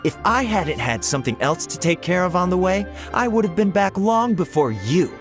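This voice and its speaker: A man's voice: high-pitched, sing-song, normal speed, music in the background. An actor playing an earnest, easily irritated young male informant in a game, who turns huffy under teasing but reports his findings dutifully. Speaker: game character.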